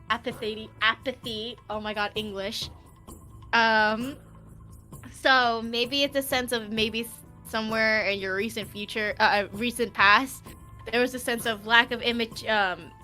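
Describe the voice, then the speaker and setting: A young adult woman doing a casual live-stream tarot reading, speaking in a halting, giggly way with repeated filler phrases. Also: fairly high pitch, sharp tone. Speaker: tarot card reader. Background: background music.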